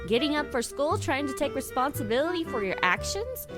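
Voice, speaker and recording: A woman's voice: high-pitched, very animated, fairly quick, over music. A woman playing a sardonic, teasing teenage heroine with a dry manner in a parody audio drama.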